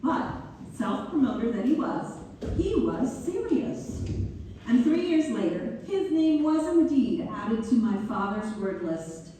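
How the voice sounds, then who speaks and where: A woman playing Mabel, a genteel pianist turned writer, reminiscing in measured theatrical narration. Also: fairly high pitch, sing-song, dark tone. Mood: fondly amused. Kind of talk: theatrical first-person narration, read-through. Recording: distant mic in very echoey hall, faint noise.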